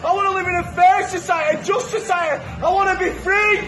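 A British man delivering a fiery, profane, shouted rant as a protester. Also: very high-pitched, steady tone, fast, roomy sound. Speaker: protester in a played clip.

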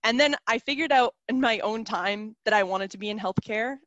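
A woman's voice: fairly high pitch, moderate pace, fairly bright tone, dry recording, lo-fi sound. A young woman from South Africa whose first language is Serbian, chatting casually as an interview guest, with lots of "like" and "um". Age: young adult. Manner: chatty, many fillers like "like" and "um".

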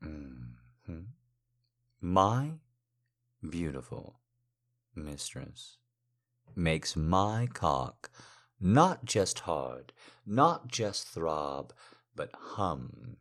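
A man playing a silver-tongued, adoring lover in an intimate erotic audio roleplay, teasing and gently commanding in drawn-out, breathy phrases. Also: low-pitched, sing-song, exaggerated voice. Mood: aroused, seductive. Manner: flowery, seductive, drawn-out phrasing with breathy whispers.

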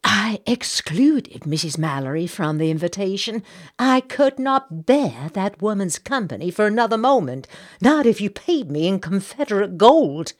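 A woman's voice: mid-range voice, big pitch swings, moderate pace, voice pushed up. A woman voicing a fretful, bossy, gossipy Southern society matron in an audiobook, with an American Southern accent and an indignant, insistent manner. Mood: gossipy disdain.

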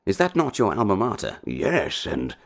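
A male actor plays a pompous Victorian English gentleman in a radio-style drama. His deep voice is husky and creaky. He delivers each line theatrically, swooping from grave musing to indignant exclamation. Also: huge pitch swings, moderate pace, full character voice. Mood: haughty. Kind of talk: audio drama acting.

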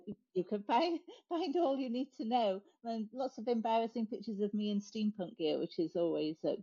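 A woman guest author chatting casually with self-deprecating, halting remarks. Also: medium pitch, dark tone, highs cut off. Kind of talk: casual chat.

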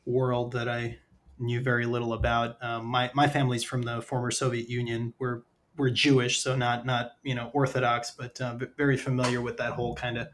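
A man hosting a podcast in a casual, conversational way, halting and hedging as he thinks aloud. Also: medium pitch, little pitch movement, a bit bright, a little hiss or hum. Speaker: podcast host.

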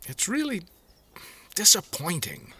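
A man's voice: sits high, wildly swooping pitch, slow pace, sharp tone, breathy edge, full character voice. An actor playing an earnest, hesitant middle-aged farmer father in an audio drama.

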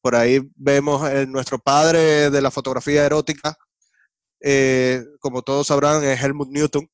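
A man with a Cuban Spanish accent speaks as a podcast guest. His voice is smooth and resonant, and it is recorded dry and close. He talks in a relaxed, explanatory way. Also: medium pitch, moderate pace, dry recording. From Cuba. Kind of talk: casual interview chat.

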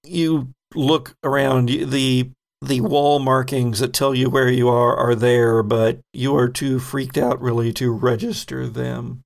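Gravelly-voiced man running a game. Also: medium pitch, flat delivery. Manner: descriptive, unhurried with frequent ums. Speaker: game master. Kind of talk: narrating a tabletop horror game.

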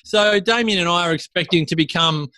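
A man hosting a podcast, giving lively, hyped-up introductions in casual chat. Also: high voice, a little fast, dry recording. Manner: upbeat, hyping introductions. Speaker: podcast host.

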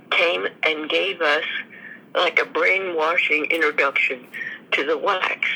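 A woman in her nineties, a veteran guest, slowly recalling her life in an interview with many small restarts. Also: lowish voice, creaky, bright, forceful tone, slight background noise, poor audio. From United States. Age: 90s. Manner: slow, thoughtful storytelling with restarts. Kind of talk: reminiscing interview answers.

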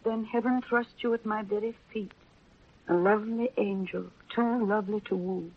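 Actress as a husky-voiced, remorseful young newspaperwoman. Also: medium pitch, steady tone, normal speed, close to an everyday voice. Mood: tender, tearful. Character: young woman newspaper reporter, torn and remorseful. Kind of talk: 1930s radio acting. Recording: muffled, lo-fi 1930s radio broadcast.

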